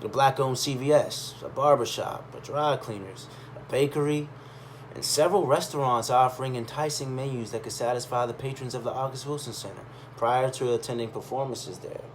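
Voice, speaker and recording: A man tells a personal story from a written text in a medium-pitched voice. His delivery is conversational and expressive, leaning on pauses and emphasis. There is faint noise behind him. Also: poor audio. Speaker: reader of a personal essay. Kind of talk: expressive first-person storytelling, read aloud.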